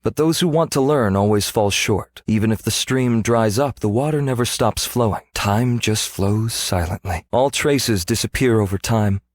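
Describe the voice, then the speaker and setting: A male actor voicing a scholarly, world-weary archaeologist in a video game, speaking in a measured, reflective, formal way. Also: fairly low pitch, no echo, close to an everyday voice. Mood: pensive, wry. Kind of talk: scripted game character voice lines.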